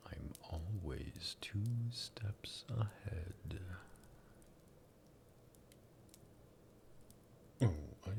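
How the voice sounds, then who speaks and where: A man playing a sadistic, possessive vampire master in an ASMR roleplay, in a breathy, gravelly whisper with a teasing, condescending drawl. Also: low-pitched, full character voice. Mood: smug, condescending. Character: sadistic vampire master, possessive and menacing, toying with captive lover.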